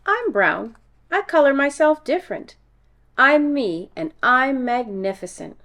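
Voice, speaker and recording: Woman voicing an earnest, expressive young schoolboy. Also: high-pitched, measured pace, pitched above the performer's own voice, exaggerated voice. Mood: proud, confident.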